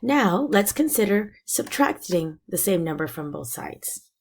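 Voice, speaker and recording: A woman teacher explaining a math lesson step by step, her voice raspy and hoarse from being sick. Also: medium pitch, sing-song, a little slow, darkish tone. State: hoarse from illness.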